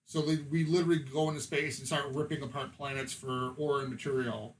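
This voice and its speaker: A man's voice: mid-range voice, flat delivery, a little fast, a little hiss or hum. A man chatting as a podcast guest, explaining things in a casual, conversational way.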